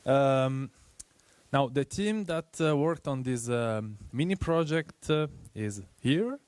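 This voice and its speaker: A man with an Italian accent presenting at a tech meetup, speaking earnestly and haltingly with many fillers. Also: mid-range voice, animated, darkish tone, smooth, no room sound.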